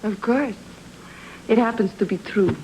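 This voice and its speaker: Composed, formal society wife played by an actress. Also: female voice, medium pitch, background noise, close to an everyday voice. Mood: composed, firm. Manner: measured, formal, deliberate. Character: composed, firm, well-to-do married woman. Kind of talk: film noir radio drama acting. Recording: old-time radio drama, music underneath.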